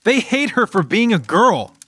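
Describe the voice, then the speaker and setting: A man playing a distraught, protective teenage brother with a strained, raspy voice in a dramatized audiobook. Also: high voice, sing-song, unhurried, a bit bright, no room sound, full character voice.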